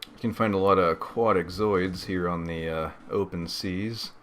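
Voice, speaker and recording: A man's voice: deep voice, steady tone, moderate pace, a little hiss or hum. A man giving casual, rambling game commentary as a let's play host, laid-back and slangy.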